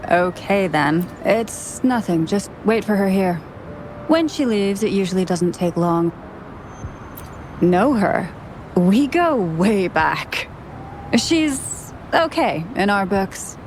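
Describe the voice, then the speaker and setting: A woman's voice: medium pitch, animated, slow, a little mellow, a little hiss or hum. A woman voicing a blunt, streetwise exile, talking in a brusque, offhand way. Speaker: game character. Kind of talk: game dialogue voice acting.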